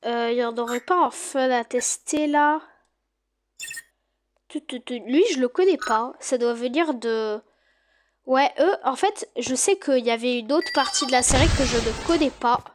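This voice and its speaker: A woman with a stuffy cold, chatting excitedly to her viewers as she plays and comments on a game. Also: sits high, a little slow, background noise. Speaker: gaming YouTuber. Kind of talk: casual gameplay commentary.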